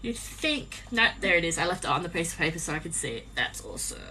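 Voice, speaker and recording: Croaky-voiced woman sewing live. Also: lowish voice, very animated, fast, noisy background. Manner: chatty, rambling, many ums. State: slightly croaky voice. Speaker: small business owner live-streaming crafts. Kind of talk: casual chat while sewing. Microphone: phone on a live stream.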